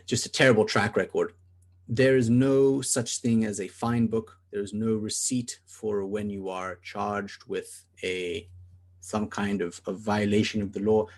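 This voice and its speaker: Podcast co-host, young male, husky and lowish, earnest and rambling. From South Africa. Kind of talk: casual podcast discussion.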